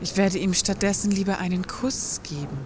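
A woman's voice: mid-range voice, moderate pace, close to an everyday voice. A woman playing a bored, spoiled bourgeois wife in a satirical radio play, with affected, dryly ironic, wordy delivery. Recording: noisy background ambience.

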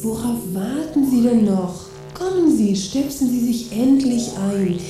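A woman's voice: a little high, expressive, a little slow. A woman playing a pushy, scornful gadget seller in a satirical radio play, insistent and condescending. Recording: very echoey, distant, music behind.

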